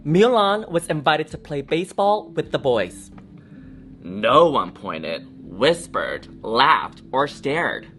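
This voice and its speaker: A woman reads a picture book to children with great expression. Her voice sits fairly low but swings widely in pitch, and she takes her time over the phrases. The style is theatrical, with small asides thrown in. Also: sing-song, slow, a bit bright, soft background music. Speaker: drag storytime reader. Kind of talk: animated children's storybook reading.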